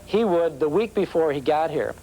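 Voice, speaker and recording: A man, a radio host, explaining a point in an interview in a brisk manner. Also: a little high, animated, dark tone, slight background noise, poor audio. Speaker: radio host and nostalgia expert.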